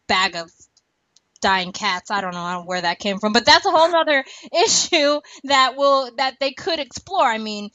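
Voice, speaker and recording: A woman's voice: on the high side, lively intonation, moderate pace, sharp tone, dry recording. A woman hosting a podcast, chatting casually and colloquially with strong opinions. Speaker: podcast host. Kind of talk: casual TV discussion chat. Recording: dull, muffled lo-fi audio.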